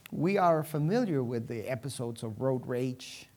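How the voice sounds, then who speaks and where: A woman preacher from Venezuela with a low-pitched voice delivers a sermon. She leans on key phrases with dramatic pauses and puts rhetorical questions to the congregation. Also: lively intonation, a little mellow.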